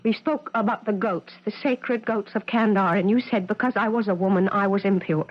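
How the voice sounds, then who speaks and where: A woman's voice: mid-range voice, moderate pace, natural voice. An actress playing an intense, insistent woman professor, defiant and flustered, in a 1970s radio drama. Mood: insistent, distressed. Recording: dull, faintly noisy 1970s radio broadcast.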